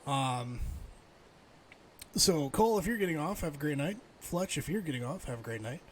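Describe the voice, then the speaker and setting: A man's voice: fairly high pitch, animated, moderate pace. Casual male game streamer over background noise. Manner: thinks aloud, muttering while playing and chatting with viewers. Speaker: livestreamer.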